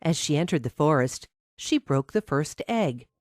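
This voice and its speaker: Low-voiced, expressive woman storyteller. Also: moderate pace, no echo, clean studio sound. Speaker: storyteller, narrator. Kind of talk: scripted children's fairy tale narration.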